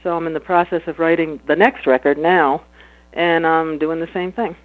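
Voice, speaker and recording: A woman with a slightly low speaking voice, a musician chatting casually about her music in an interview, relaxed and laughing easily. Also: normal speed, dry recording. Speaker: musician guest. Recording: tinny phone line.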